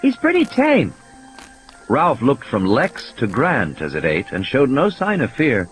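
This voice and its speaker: A man's voice: medium pitch, wildly swooping pitch, a little slow, fairly bright tone. A man reading scripted fiction as an audiobook narrator, in a dramatic storytelling style, with music and sound effects behind him.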